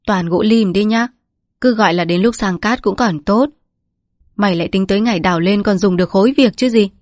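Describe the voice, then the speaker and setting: A woman's voice: mid-range voice, fairly quick, darkish tone, dry recording, lightly acted. Storybook role: bossy, menacing male heir of a village family read by a woman, curt and cold, dry sound. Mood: sardonic. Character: cold, domineering eldest grandson, quick to threaten.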